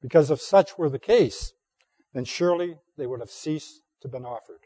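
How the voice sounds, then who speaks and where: A man with a hoarse, mellow voice delivers a sermon, reading scripture in a deliberate, steady cadence. The sound is close and dry, with no room echo. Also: on the high side. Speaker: preacher.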